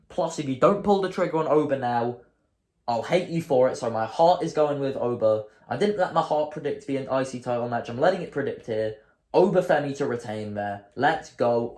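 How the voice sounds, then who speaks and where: A hoarse man talks casually as host of his own show, thinking aloud and correcting himself as he goes. His voice sits at an ordinary middle pitch. The sound bounces around an echoey room. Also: a little mellow. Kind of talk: casual solo commentary.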